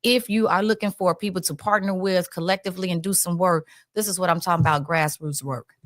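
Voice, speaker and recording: A woman's voice: on the low side, fairly even intonation, fairly quick, no echo, clean studio sound. An American woman hosting a casual community talk show, emphatic and informal, often addressing her audience directly.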